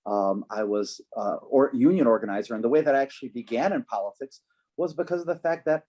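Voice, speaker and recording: A man running for office, answering interview questions in an earnest, measured way with frequent ums. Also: medium pitch, mellow. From United States, Chicago. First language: Polish. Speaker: political candidate, guest.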